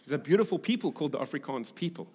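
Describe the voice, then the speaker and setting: A man's voice: fairly high pitch, expressive, darkish tone, slightly rough. South African man preaching in an echoey hall. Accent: South African English. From Durban, South Africa. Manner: conversational, halting, repeats phrases for emphasis. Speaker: preacher, pastor. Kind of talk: sermon with scripture reading and conversational asides. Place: large reverberant hall, preaching to a congregation. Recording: echoey hall with long reverb.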